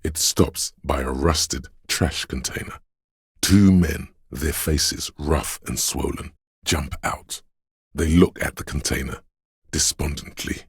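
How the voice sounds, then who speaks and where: An actor playing a brooding, mystical inner voice that senses a city, narrating a game in solemn, measured, ominous poetic prose with a gravelly, husky voice. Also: male voice, rumbling low, fairly even intonation, no room sound, clean studio sound, heavily acted. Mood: melancholy, atmospheric. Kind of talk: scripted literary game narration.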